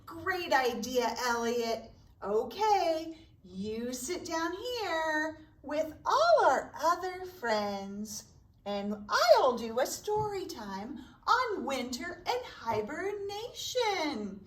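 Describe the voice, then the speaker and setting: Woman, preschool storytime host, very lively intonation, encouraging, roomy echo, distant mic. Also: high voice, very slow. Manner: animated, simple encouraging phrases addressed to young children. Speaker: children's librarian hosting preschool story time. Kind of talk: sing-song preschool storytime with songs and read-aloud.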